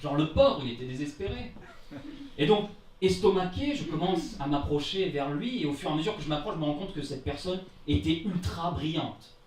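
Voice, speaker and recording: A young man from France performs a comic story live on stage. His voice sits fairly high, and his delivery is theatrical, casual and self-deprecating. The sound is clearly roomy, picked up a little way from the microphone. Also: lively intonation, fairly quick, darkish tone, recorded from a distance. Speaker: amateur storyteller at a live travel-story event. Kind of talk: comic personal travel story told on stage. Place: indoor live storytelling event before an audience.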